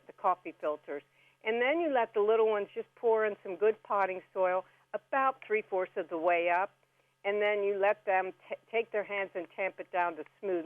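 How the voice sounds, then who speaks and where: A woman's voice: mid-range voice, dry recording. Woman on a tinny phone line. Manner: chatty, folksy, instructive. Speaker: radio guest giving gardening tips. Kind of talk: casual step-by-step how-to chat. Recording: band-limited phone-like radio call.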